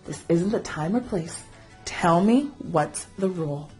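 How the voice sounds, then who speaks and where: A woman voicing a patient female fish schoolteacher in a storybook, instructive and calmly admonishing. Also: lowish voice, measured pace, faint music behind, pitched below the performer's own voice. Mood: gently firm.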